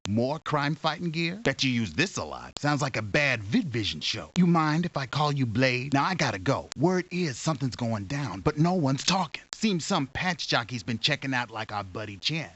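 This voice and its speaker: A man's voice: medium pitch, fairly bright tone. A man playing a slangy, cocky underworld informant in a video game, talking in a colloquial street manner. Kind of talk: character dialogue in a video game.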